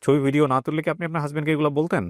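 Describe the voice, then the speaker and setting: A man's voice: a little high, flat delivery, very fast, a little mellow, no echo, clean studio sound. A man from Bangladesh hosting a radio show, questioning a guest about her life story in a blunt, pointed way. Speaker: radio host. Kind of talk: probing interview about a caller's life story.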